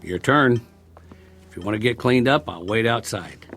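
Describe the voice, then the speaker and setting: Talkative, war-weary soldier over music. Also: male voice, mid-range voice, animated, normal speed, a bit bright. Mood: sheepish, friendly. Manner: rambling, wry, stammering when eager. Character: talkative, war-weary male soldier, lonely and eager to please. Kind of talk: radio-drama monologue.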